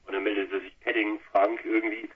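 A man from Lower Saxony, a band guitarist giving a rambling, colloquial interview by phone. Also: flat delivery, fairly quick, breathy edge. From Lower Saxony, Germany. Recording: muffled, noisy telephone line on radio.

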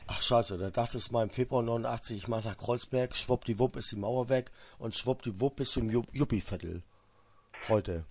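A man hosting a radio interview, talking casually and jumping in with quick interjections. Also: medium pitch. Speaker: radio host. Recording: dull, dry radio sound.

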